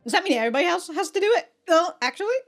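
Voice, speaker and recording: A woman's voice: very high-pitched, animated, racing, faint music behind. A woman giving casual, slangy reaction commentary as a video host, exclaiming and swearing freely.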